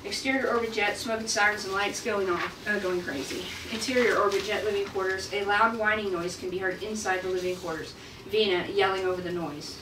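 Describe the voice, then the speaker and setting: A woman's voice: mid-range voice, steady tone, a little fast. Woman reading stage directions, echoey room. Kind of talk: script table read narration. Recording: echoey room, distant mic, noisy.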